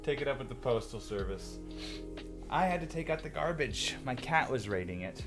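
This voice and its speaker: A loud-voiced man talks casually on a livestream, exclaiming as he reacts to chat and rambling into opinionated stories. His voice sits at an ordinary middle pitch for a man. Music plays clearly behind him the whole time. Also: lively intonation, moderate pace. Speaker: streamer.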